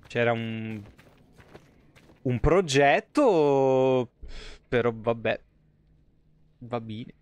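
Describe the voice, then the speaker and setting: A man's voice: fairly high pitch, no room sound. A man in his thirties with a Roman Italian accent, giving casual, slangy live game commentary and chatting with viewers in rambling asides. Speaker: game streamer.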